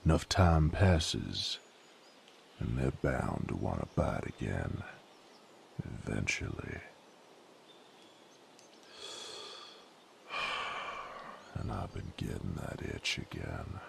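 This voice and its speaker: A man playing a gruff, weary sadist knight in a roleplay audio drama, speaking in rough, folksy, halting phrases with a gravelly voice. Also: slight background noise, exaggerated voice. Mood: brooding, confessional. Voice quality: gravelly, very deep. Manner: rough rustic folksy speech, brooding, halting. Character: sadist knight, gruff former carnival drifter, weary and brooding.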